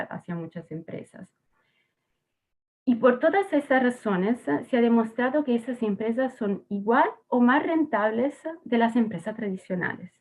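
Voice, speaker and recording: A woman hosting an online webinar, presenting earnestly with frequent fillers and restarts. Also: medium pitch. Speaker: webinar host from a responsible-ownership organization. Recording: tinny phone-like audio.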